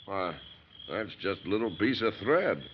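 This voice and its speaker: An actor playing a rattled, folksy truck driver in 1940s radio drama style. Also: male voice, fairly low pitch, lively intonation, measured pace. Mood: puzzled. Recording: noisy old radio recording, muffled.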